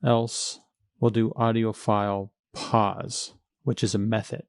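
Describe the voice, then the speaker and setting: Guy doing a coding screencast, gravelly voice, thinking out loud step by step, very dry recording. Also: fairly low pitch, flat delivery, takes it slowly, no echo. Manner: informal, thinking aloud while working. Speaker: programming tutorial instructor.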